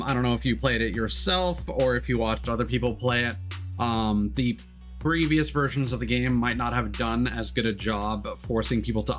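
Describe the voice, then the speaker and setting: A man's voice: medium pitch, a bit bright. A man streaming a video game and chatting casually, rambling with many fillers and wry asides. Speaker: VTuber streamer. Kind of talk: casual let's-play commentary.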